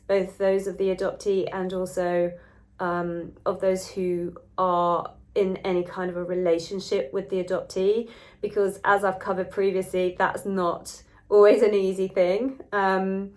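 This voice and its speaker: A woman with a stuffy, nasal voice from a cold, talking earnestly to her channel's viewers as host, with frequent ums and restarts. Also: medium pitch. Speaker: adoption wellbeing researcher and channel host. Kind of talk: casual talk to camera.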